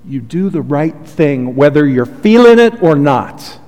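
A man's voice: on the high side, sing-song, a little slow. A man preaching a sermon as pastor, earnest and heartfelt, with a soothing voice. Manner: earnest, heartfelt preaching with soft confessional asides. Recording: long hall reverb.

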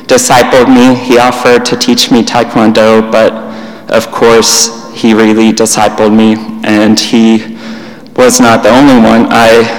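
Monotone young man in a reverberant hall. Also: medium pitch. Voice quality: monotone delivery. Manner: plain, unhurried, with pauses and restarts. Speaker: church speaker. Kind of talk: church teaching talk.

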